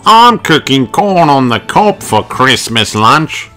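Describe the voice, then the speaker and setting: A jovial wallaby fellow, performed by a male storyteller, hearty and exclamatory. The lines are drawn out and very animated, with light music underneath. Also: mid-range voice, takes it slowly, pitched above the performer's own voice, full character voice. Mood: hearty welcome.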